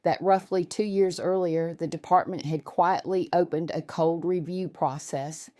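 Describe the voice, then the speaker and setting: A woman's voice: deep voice, mellow, no echo, clean studio sound. A woman reading a scripted first-person story as its narrator.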